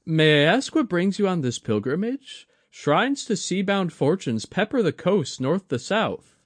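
A man voicing a young woman who keeps a shrine, bright, courteous and eager, in a high put-on voice. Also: sing-song, a little slow, no room sound, studio quality, heavily acted.

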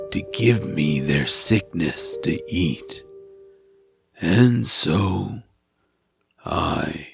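A huge, gentle creature speaks in a very deep, creaking voice, slow and mournful. It sounds like a dying giant in a family audio drama, with music playing behind it. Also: male voice, exaggerated voice.

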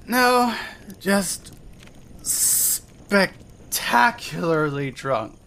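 Woman acting a slow, halting, drunk young woman. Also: lowish voice, big pitch swings, a bit bright, a little hiss or hum, heavily acted. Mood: drunk, wry. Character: drunk, self-deprecating, emotionally frayed young woman. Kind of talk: fan audio drama acting.

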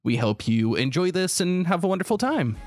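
A man's voice: sits high, big pitch swings, fairly quick, loud music behind the voice. A man co-hosting a podcast in casual chat, enthusiastic and free with swearing. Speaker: podcast co-host.

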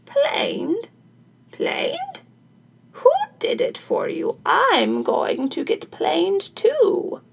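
A woman voicing an eager, stubborn, envious old crone in a folktale, with drawn-out, insistent exclamations. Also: very high-pitched, wildly swooping pitch, gravelly, pitched above the performer's own voice, heavily acted. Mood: shocked, envious. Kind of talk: folktale character voice in storytelling.

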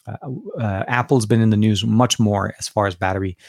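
Low-voiced man rambling close to mic. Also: steady tone, a little fast, gravelly, no room sound. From Lebanon. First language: Arabic. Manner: rambling, many restarts and fillers. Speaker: live stream host answering viewer questions. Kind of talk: casual Q&A live stream. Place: office. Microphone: close dynamic studio mic.

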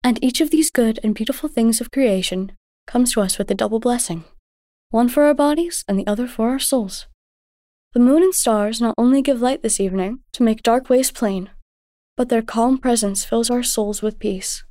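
Storybook mother, played by a woman: gentle and devout, measured teaching manner, raised pitch, dry recording. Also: dry recording, natural voice. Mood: patient, instructive. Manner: tender, measured, instructive.

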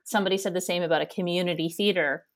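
A Canadian woman in her thirties with a loud, nasal voice, chatting as an interview guest and rambling with frequent laughs. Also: lowish voice, steady tone, racing. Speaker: guest, theater education director. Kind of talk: casual interview conversation.

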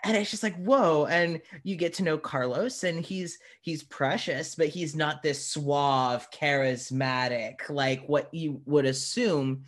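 A man hosting a podcast interview in a casual, informal way, with halting phrasing and many asides. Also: fairly high pitch.

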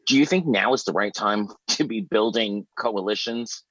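Casting: low-voiced male interviewer, halting delivery, dry close sound. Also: fairly bright tone, breathy edge, no echo, poor audio. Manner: halting, many fillers. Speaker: host. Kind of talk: interview questions.

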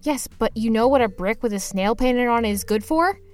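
Woman acting cheeky, high-voiced storyteller with music. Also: normal speed, natural voice. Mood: salesy, cheeky. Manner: expressive, slangy, playfully dramatic. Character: Finley, scrappy broke young mover telling their misadventures, earnest and cheeky. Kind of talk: first-person narrated comedic audio drama with acted dialogue.

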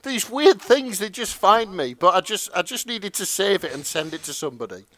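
A man with a bright, ringing voice that bounces all over its range. He chats casually and giggles easily on a podcast, and the sound is dry and close. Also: high-pitched, lively intonation, moderate pace, no room sound. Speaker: podcast co-host. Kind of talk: casual chat.

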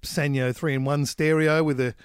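A man's voice: a little high, fairly even intonation, moderate pace, clear, resonant tone, no echo. Aussie guy in his 50s rambling through old stories, easygoing, dry close sound. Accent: Australian. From Australia. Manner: rambling, laid-back storytelling with laughs. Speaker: guest musician. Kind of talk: casual conversational interview.

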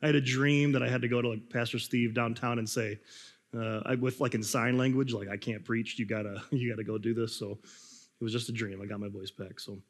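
Slightly raspy young pastor. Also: male voice, mid-range voice, steady tone, brisk. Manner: rambling, self-deprecating, frequent fillers and laughs. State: slightly raspy, recovering voice, coughing. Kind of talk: casual conversational sermon. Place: church hall. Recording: reverberant hall.

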